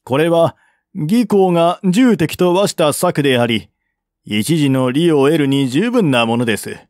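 A man's voice: fairly high pitch, expressive, unhurried, a little mellow, dry recording, studio quality. A man voicing an earnest, persuasive envoy of ancient China in a historical audiobook, speaking formally and deferentially. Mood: reasoned persuasion.

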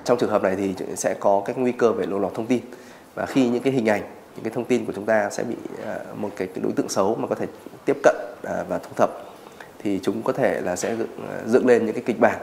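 A man in his thirties explaining as an expert in an interview, pausing on filler words. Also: on the low side, creaky, darkish tone, a little hiss or hum.

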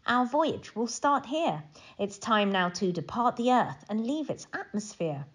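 A woman voicing a tiny, friendly alien tour guide in a children's storybook, speaking in expressive, inviting rhyme. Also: on the high side, a little slow, husky, exaggerated voice. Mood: inviting, excited.

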